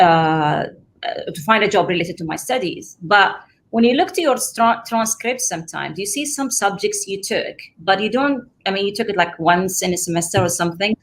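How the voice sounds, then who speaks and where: Emirati woman, native Arabic speaker, earnest. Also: medium pitch. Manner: earnest, frequent restarts and fillers. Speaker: guest. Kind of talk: casual interview conversation.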